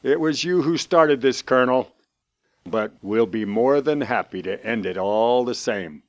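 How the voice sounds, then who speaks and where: A man plays a cold enemy captain in a fan audio drama, speaking in a measured, formal tone. His voice sounds a bit distant from the mic. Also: mid-range voice, animated, close to an everyday voice. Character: calm male enemy captain demanding surrender.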